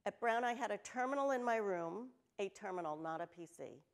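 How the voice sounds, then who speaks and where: A woman's voice: mid-range voice, a little fast. Older woman telling stories on stage. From New Jersey, United States. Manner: lively, candid, joking asides. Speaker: conference speaker. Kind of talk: storytelling keynote talk. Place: stage of a large auditorium with audience.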